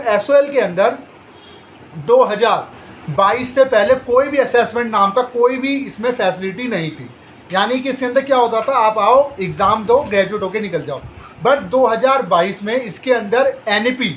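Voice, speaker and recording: A man with a high-pitched voice talks straight to students as the host of an education channel. He asks himself questions and answers them in a reassuring way. A faint background noise sits under the recording. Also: low-quality recording. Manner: direct, reassuring, rhetorical questions. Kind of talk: explainer talk to students.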